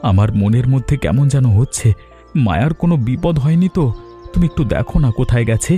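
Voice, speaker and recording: A caring village father, voiced by a male actor in a cartoon fable. He is gentle, anxious and earnest, with a mellow, soft-edged tone. Faint music plays underneath. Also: mid-range voice, lively intonation, quick pace, natural voice. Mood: weak, worried. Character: caring, worried poor village father and husband. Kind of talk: Bangla moral-story cartoon dubbing.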